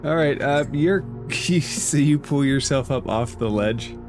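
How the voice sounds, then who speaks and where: A man's voice: mid-range voice, slow. A man running a tabletop game as game master, questioning and guiding the players casually. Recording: music behind.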